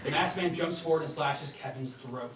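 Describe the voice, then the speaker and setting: A narrator reading out the action of a comedy script at a table read, with a halting, stumbling delivery. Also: mid-range voice, very noisy. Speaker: stage directions narrator. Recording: echoey room, distant mic, loud crowd behind.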